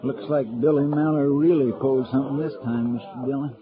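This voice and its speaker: An American actor playing a loyal, deferential deputy in a 1950s radio Western, speaking in a folksy, plain way. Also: male voice, medium pitch, fairly even intonation, fairly quick, noisy background, close to an everyday voice. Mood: earnest, worried. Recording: dull vintage radio recording, crowd murmur behind.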